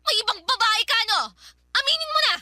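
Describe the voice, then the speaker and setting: Piercingly high, accusing young mother. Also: animated, brisk, pushed and bright, no room sound, clean studio sound, heavily acted. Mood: accusing, angry. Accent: Filipino. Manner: confrontational, accusing, nagging. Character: angry, suspicious, sharp-tongued young mother fed up with her partner. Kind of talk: heated radio drama dialogue.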